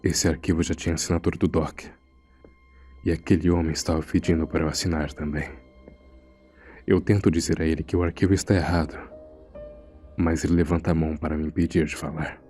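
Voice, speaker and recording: A man's voice: very deep voice, flat delivery, a little fast, long pauses, mellow, soft background music. A man playing an uneasy morgue assistant, narrating a horror audio drama in a grave, suspenseful manner. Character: uneasy male morgue assistant recounting a frightening secret. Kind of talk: scripted first-person horror narration.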